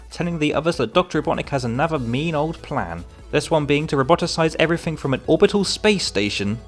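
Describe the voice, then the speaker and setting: Wry man narrating a review over music. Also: medium pitch. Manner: sardonic, wry asides. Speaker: comic book reviewer. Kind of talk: scripted video review narration.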